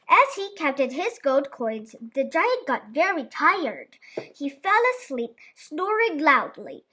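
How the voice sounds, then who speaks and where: A woman reads a children's storybook aloud, slow and expressive. Her voice is very high and bright, and it rises and falls with lively, animated swings. Every line is paced gently for young listeners. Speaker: children's book reader. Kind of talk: storybook read-aloud narration.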